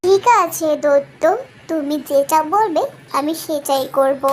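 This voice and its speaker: A woman's voice: sits high, measured pace, a little hiss or hum. A woman voicing a kind, dutiful young daughter, the tender-hearted heroine of a fairy tale cartoon, in an earnest, girlish manner. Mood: willing, grateful.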